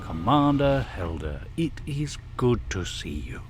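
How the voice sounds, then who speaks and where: Slow, expressive male starship admiral, played by a man. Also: medium pitch, a little hiss or hum. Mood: warm, measured. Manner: deliberate, dry. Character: measured, senior male starship admiral, gently authoritative. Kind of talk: audio drama acting.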